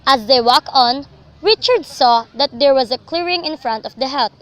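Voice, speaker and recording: A woman's voice: high-pitched, normal speed, a bit bright. A young woman narrating a scripted story for a radio drama.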